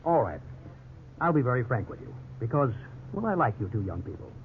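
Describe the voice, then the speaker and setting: An actor playing a pushy, falsely sincere real estate salesman in a 1950s radio drama, with smooth patter and feigned hesitation. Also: mid-range voice, very animated, fairly quick, lightly acted. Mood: oily, persuasive. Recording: muffled, noisy 1950s radio recording.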